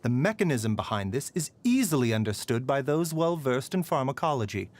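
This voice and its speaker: An actor voicing a courteous, scholarly, gently sly physician for a video game, speaking in polite, measured, formal phrases. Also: male voice, medium pitch, very animated, lightly acted. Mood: quietly sly. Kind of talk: scripted game voicelines.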